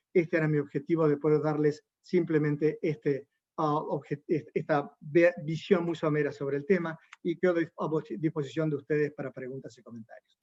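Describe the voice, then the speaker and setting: Raised-pitch male lecturer, dull dry audio. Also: a little mellow, no echo. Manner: explanatory, addressing listeners directly. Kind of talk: online lecture.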